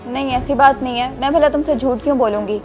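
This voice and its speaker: A woman's voice: high-pitched, quick pace, over music, lightly acted. A woman acting an impulsive, easily swayed young wife in a moral-story drama. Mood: defensive, placating.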